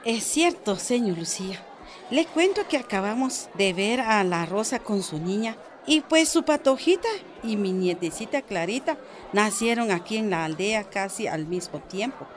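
An actress playing a chatty, cautious village grandmother in a radio soap, with a Guatemalan accent and an expressive, folksy way of talking. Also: mid-range voice, normal speed, a little hiss or hum, close to an everyday voice. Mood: curious, concerned. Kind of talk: radio soap opera acting.

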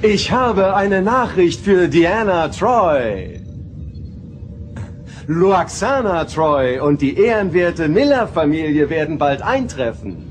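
A man's voice: on the high side, very animated, takes it slowly, faint music behind. A man playing a gleeful alien talking mask that makes solemn proclamations in a German radio play.

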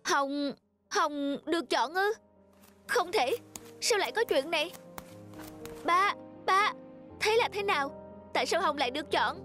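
A woman playing a proud, competitive schoolgirl in an animated cartoon. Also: very high voice, measured pace, pauses often, faint music behind, full character voice. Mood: resentful, upset.

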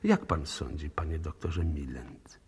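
An actor playing a composed, methodical police inspector in a radio drama, speaking formally and courteously with probing intent. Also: male voice, very deep voice, a little slow, a little mellow, breathy edge, heavily acted. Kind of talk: 1960s Polish radio drama acting.

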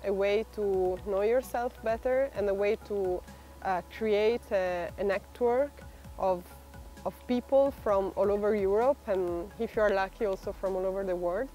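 Hesitant young Italian woman, soft voice. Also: mid-range voice, normal speed, soft background music. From Italy. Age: young adult. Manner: hesitant, many fillers, laughs while talking. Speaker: exchange student. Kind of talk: documentary interview. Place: outdoor park, sitting under a tree.

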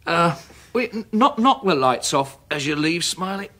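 An actor playing a scheming, chummy English husband in a rambling, repetitive, matey manner, in a 1980s radio drama. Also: male voice, a little high, animated, moderate pace, sharp tone.

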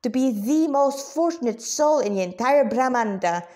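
Woman as a brash high-pitched cat spirit. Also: expressive, normal speed, dark tone. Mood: joyful boasting. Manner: slangy, emphatic. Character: brash, gushing female cat-spirit devotee, slangy and excitable.

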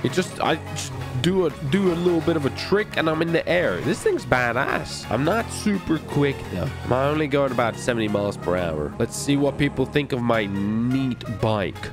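A man hosting a gaming video, giving excited, playful and boastful commentary full of exclamations. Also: mid-range voice, normal speed, few pauses. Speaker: gaming video host and player. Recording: music behind the voice. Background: music.

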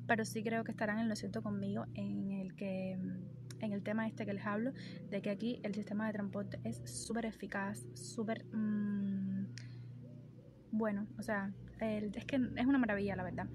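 Young woman, Cuban accent, enthusiastic vlog chat, phone at arm's length, clear outdoor noise. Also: medium pitch, level tone, normal speed. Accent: Cuban Spanish. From Cuba. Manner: chatty, enthusiastic, with fillers. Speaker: vlogger. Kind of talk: casual vlog talk to camera. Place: outdoors by trees on a street. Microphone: phone camera held at arm's length. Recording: outdoor street noise behind.

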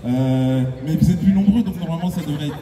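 A man's voice: fairly high pitch, fast talker, heavy background noise. A man hosting a concert on stage, bantering playfully with the audience, laughing often and urging everyone on. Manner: playful, teasing, laughs often, urges the crowd on. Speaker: singer and concert host. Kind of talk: stage banter with a convention crowd. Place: large indoor convention hall stage. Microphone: handheld stage mic through PA, filmed from audience. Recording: echoey hall PA from far off, loud crowd. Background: cheering crowd.

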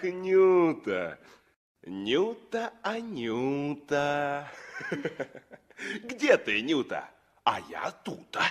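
A man's voice: sits high, exaggerated voice. A man in a 1960s radio play, playing a jovial, protective revolutionary sailor who talks in hearty, coaxing phrases. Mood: jovial, teasing.

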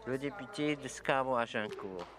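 A man interpreting parliamentary speeches on the fly, his delivery halting and full of fillers and self-corrections. The murmur of a busy legislative chamber stays clearly audible underneath, and his pitch sits in an ordinary middle range. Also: crowd noise. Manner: hesitant, frequent fillers and restarts. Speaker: simultaneous interpreter. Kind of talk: live interpretation of parliamentary statements.

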